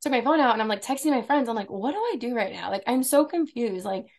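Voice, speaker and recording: A woman in her thirties with a fairly deep voice, telling a dating story in casual chat and acting out the quotes. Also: fast talker. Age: 30s. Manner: animated storyteller, acts out quotes, laughs often. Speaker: podcast guest, esthetician.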